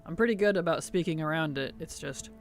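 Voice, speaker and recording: A streamer chatting casually while playing a game and answering chat, speaking around a cough drop with a slightly rough, sensitive throat. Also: mid-range voice, fast, faint music behind.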